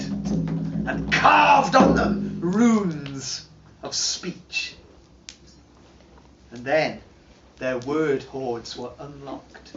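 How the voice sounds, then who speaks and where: A man giving a slow, chanting dramatic recitation of an old charm, swinging from roaring calls to hushed murmurs, recorded from a distance at a live performance. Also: fairly high pitch. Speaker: storyteller. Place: heritage site, live performance room. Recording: dull, roomy live recording from far off. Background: drumming.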